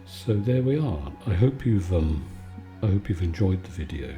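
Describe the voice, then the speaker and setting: A man giving a calm, soothing, conversational bedtime chat in a relaxed ASMR style. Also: deep voice, moderate pace, soft background music. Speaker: video maker and host.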